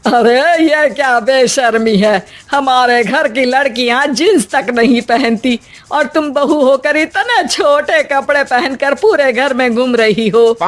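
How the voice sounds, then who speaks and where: A woman's voice: high voice, takes it slowly. A woman playing an old-fashioned, easily scandalised middle-aged mother-in-law in a cartoon story, indignant and exclamatory.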